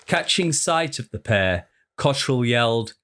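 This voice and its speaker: A man narrating a historical crime tale in a measured, deliberate, dramatic storytelling style. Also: mid-range voice, lively intonation, a bit bright, slight background noise.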